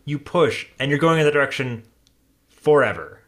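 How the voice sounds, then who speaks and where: A man's voice: medium pitch, expressive, moderate pace. A man co-hosting a podcast, chatting enthusiastically with lots of laughter and many fillers and restarts. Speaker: podcast co-host. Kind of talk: casual fan chat recapping an episode.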